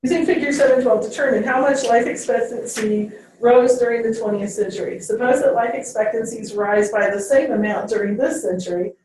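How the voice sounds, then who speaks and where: A woman's voice: a little high, fairly quick, darkish tone. A woman teacher giving a classroom lecture, checking in with "okay?" after each point. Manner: ends phrases with "okay?". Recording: very echoey classroom, distant mic.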